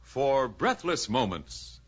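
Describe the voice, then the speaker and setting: A man with an American accent delivering a scripted old-time radio advertising pitch as an announcer. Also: big pitch swings, slow pace, slightly rough. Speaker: commercial announcer. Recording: muffled old radio recording.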